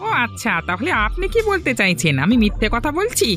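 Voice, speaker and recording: A woman plays an indignant troublemaking customer in a cartoon, with a piercing bright tone. The scolding delivery swings widely in pitch and races along quickly. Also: big pitch swings, soft background music. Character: loud, accusing customer stirring up trouble. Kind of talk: cartoon story voice acting.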